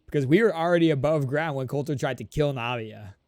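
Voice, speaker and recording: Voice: mid-range voice, fast, a little mellow. A streamer giving casual, informal reaction commentary on a game, talking expressively to the characters.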